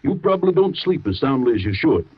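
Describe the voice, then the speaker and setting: An actor playing a family doctor who calmly soothes a frightened patient and waves off her fears. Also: medium pitch, quick pace, husky, no echo. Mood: soothing. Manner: soothing, brushes fears off as nonsense. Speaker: radio drama actor. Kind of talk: old-time radio acting. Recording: dry, dull old radio recording.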